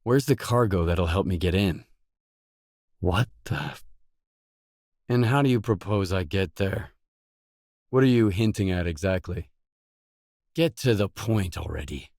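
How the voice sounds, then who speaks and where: An actor voicing a gruff, guarded stalker mercenary in a video game, blunt, terse and no-nonsense. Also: male voice, sits low, expressive, unhurried, long pauses, darkish tone, no echo, studio quality, exaggerated voice. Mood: irritated, suspicious. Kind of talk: video game dialogue lines.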